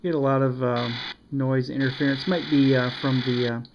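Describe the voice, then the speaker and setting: A man's voice: medium pitch, steady tone, moderate pace. A man casually demonstrating a radio, hesitant and full of ums and restarts. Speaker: radio reviewer. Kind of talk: casual product demo. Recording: lo-fi with loud radio static.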